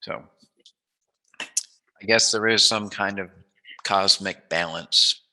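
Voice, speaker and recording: A man's voice: fairly low pitch, fairly even intonation, slow, sharp tone, low-quality recording. A man hosting an interview in a casual, joking way, with a playful, rambling, musing manner. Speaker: interview host.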